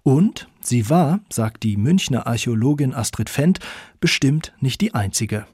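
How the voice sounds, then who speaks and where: Male radio narrator, standard German accent, husky, very dry studio sound, scripted delivery. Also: medium pitch, animated, a little slow, a little mellow, no echo. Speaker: narrator and author of a radio feature.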